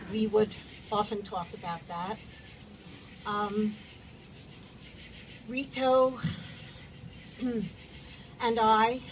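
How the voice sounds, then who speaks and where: Woman heard from a distant mic. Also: medium pitch. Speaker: deli worker speaking at a memorial. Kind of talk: memorial remarks. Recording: distant mic, noisy room.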